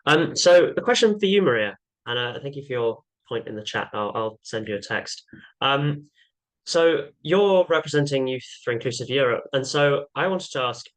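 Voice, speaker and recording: A young man chairing an online panel discussion, speaking haltingly with fillers. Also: mid-range voice, expressive, low-quality recording. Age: young adult. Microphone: video call.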